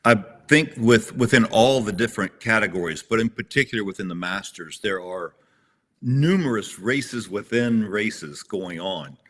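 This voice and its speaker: Sixty-something guy chatting in an interview, bright tense voice, lots of restarts. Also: fairly low pitch, animated, normal speed. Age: 60s. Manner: rambling, frequent restarts and self-corrections. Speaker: interview guest, competitor. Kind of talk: casual conversational interview.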